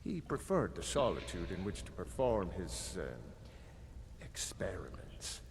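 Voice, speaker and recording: An actor playing a shady, weary tavern keeper who brokers underworld deals with sly hesitation, in video game dialogue. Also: male voice, deep voice, sing-song, measured pace, heavily acted. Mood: sly, hushed. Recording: reverberant game audio with background noise.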